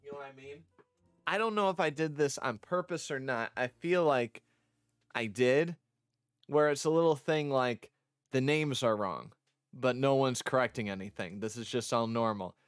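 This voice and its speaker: A man giving casual, chatty commentary as they show a visual novel, often halting and interrupting themselves with asides. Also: mid-range voice, no echo, studio quality. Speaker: vtuber streamer showing their own visual novel. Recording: music under the voice. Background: music.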